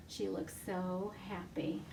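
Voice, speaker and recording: A woman librarian reading a picture story aloud to young children from her home, animated and full of gasps. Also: on the low side, takes it slowly, vocal fry, far from the mic, very noisy. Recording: echoey room, voice off-mic.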